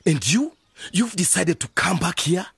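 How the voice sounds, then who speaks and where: Man playing a husky, exasperated village uncle. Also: high-pitched, lively intonation, moderate pace, a bit bright, no room sound, full character voice. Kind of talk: radio drama acting.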